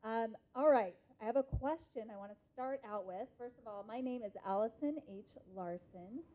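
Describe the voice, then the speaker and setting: Motivational speaker, female, 30s, American, slightly high pitch, deliberate and emphatic, muffled phone-like hall sound. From Idaho, United States. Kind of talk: interactive motivational talk to a live audience. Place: conference hall. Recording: muffled lo-fi live hall recording.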